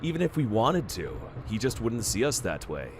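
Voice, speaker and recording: Man as formal, expressive royal minder, lowish voice. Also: moderate pace, a little hiss or hum. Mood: solicitous, grave. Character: solicitous, formal minder devoted to his young prince. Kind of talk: radio-play acting in a fan dub.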